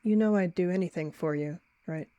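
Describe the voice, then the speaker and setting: A woman voicing a secretive young she-cat warrior who speaks softly and intensely. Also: fairly low pitch, fairly quick, dry recording, lo-fi sound, close to an everyday voice.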